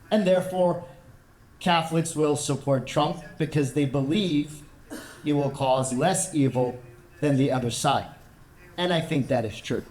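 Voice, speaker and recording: An American man gives a measured, explanatory talk to a gathering, pausing now and then with small fillers. His voice sits a little higher than most men's and rings through an echoey room. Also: a little mellow. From United States. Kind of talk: public talk with discussion.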